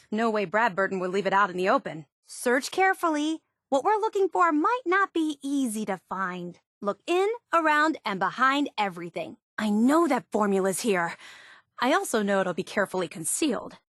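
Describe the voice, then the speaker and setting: An excitable, high-voiced superfan. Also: female voice, normal speed, no room sound, studio quality. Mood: coaxing, intent. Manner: gushing, earnest, excitable. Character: eager, obsessive young woman superfan of a soda brand. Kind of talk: video game character dialogue.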